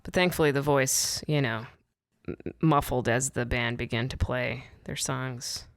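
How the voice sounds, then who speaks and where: A woman comedian guest with a deep voice, telling a rambling, giggly story in casual chat. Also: fairly even intonation, measured pace, faint music behind. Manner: giggly, rambling, self-correcting.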